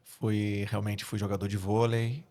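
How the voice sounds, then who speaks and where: A man in his forties from Rio de Janeiro with a Carioca accent, answering as a guest in a podcast interview, earnest and measured with frequent hesitations. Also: on the low side, level tone, dark tone. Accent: Rio de Janeiro Brazilian Portuguese. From Brazil (Rio de Janeiro). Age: 40s. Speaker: guest, military spokesperson.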